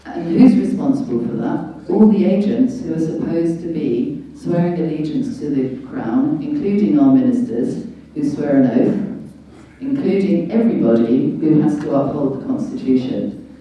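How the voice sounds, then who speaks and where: A woman's voice: low voice, moderate pace. A British woman giving an informal public talk as a guest speaker, explaining in an emphatic, didactic way with rhetorical questions. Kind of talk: informal public lecture on law and rights. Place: large echoey indoor venue at a public meeting. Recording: dull, very echoey hall, distant mic.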